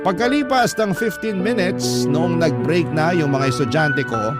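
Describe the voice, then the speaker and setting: A man's voice: a little high, unhurried. A man with a Filipino accent narrating a first-person story for radio drama in an earnest, reflective way. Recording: prominent background music bed. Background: loud instrumental music.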